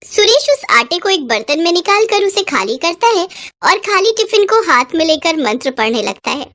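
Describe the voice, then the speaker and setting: A woman narrating a children's moral tale in an expressive, dramatic storytelling style. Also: very high voice, normal speed.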